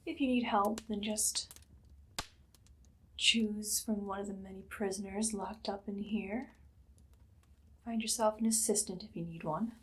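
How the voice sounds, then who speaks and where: A woman playing a haughty evil queen in an ASMR roleplay, speaking in a soft, breathy, often whispered voice with imperious, sweetly sinister deliberation. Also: medium pitch, fairly even intonation, measured pace, long pauses. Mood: condescending, commanding. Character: evil haughty queen, casually menacing, commanding a captive servant.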